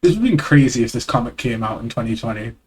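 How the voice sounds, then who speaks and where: A man's voice: medium pitch, expressive, quick pace, slightly rough. Stuffy-nosed man rambling on stream. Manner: rambling, self-deprecating, swears casually. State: congested, stuffy nose. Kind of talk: casual live-stream reading of a webcomic aloud. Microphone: external desk mic.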